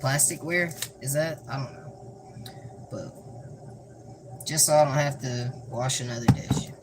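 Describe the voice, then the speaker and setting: A young man with a Southern US accent casually talking through a cooking vlog in a flat, monotone, deadpan way, rambling and joking. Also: medium pitch, slow. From American South. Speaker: cooking vlogger. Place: home kitchen. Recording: noisy home recording.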